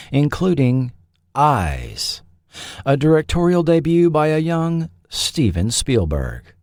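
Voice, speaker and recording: A man narrating a scripted history documentary as its host, with deliberate, dramatic phrasing. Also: medium pitch, a little mellow, no echo, studio quality.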